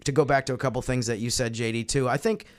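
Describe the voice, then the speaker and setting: A man on a sports podcast panel, explaining his views in a measured, casual analytical way. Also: medium pitch, steady tone.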